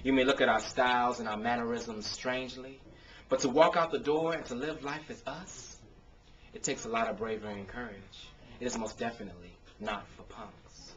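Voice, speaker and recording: A man's voice: medium pitch, fairly even intonation, moderate pace, a bit bright. American male poet, dull noisy camcorder sound. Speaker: spoken word poet. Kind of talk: spoken word poetry reading with casual introductions. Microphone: camcorder microphone.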